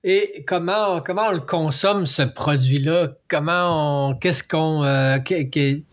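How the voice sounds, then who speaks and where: Slightly high-voiced man, informal interviewer. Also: background noise. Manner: informal, halting, reformulates questions. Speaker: interviewer, host. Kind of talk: casual conversational interviewing.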